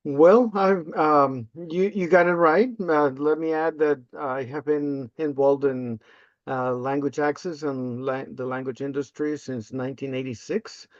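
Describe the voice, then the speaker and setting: An older man from Peru, a native Spanish speaker, answering interview questions casually and haltingly with frequent ums and uhs. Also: a little high, steady tone, no echo. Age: 50s or older. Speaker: interview guest, language access specialist. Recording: slightly dull, lo-fi close audio.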